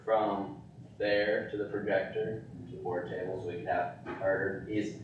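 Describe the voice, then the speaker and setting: A man chatting casually in a halting, hesitant way as he helps set up a meeting. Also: low-pitched, little pitch movement, phone-quality sound. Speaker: county board meeting participant setting up the video call. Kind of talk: casual chat before a meeting. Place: meeting room. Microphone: distant room microphone. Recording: roomy, echoey, noisy distant room microphone.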